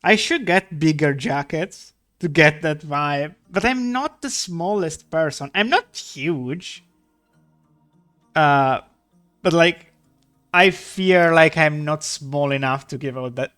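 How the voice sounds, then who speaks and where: A man's voice: on the high side, expressive, slow, fairly bright tone, faint music behind. A man streaming live, chatting casually and rambling while he draws. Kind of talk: casual chat while drawing.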